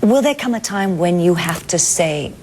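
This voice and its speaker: Low-pitched female TV interviewer. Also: animated, moderate pace, darkish tone. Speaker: television interviewer. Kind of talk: conversational interview questioning. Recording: clear background ambient noise.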